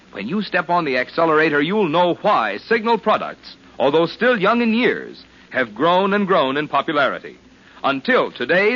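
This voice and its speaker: A male 1940s radio announcer with a bright, slightly high voice and very lively intonation. He delivers scripted copy like an emphatic salesman. The old recording is muffled.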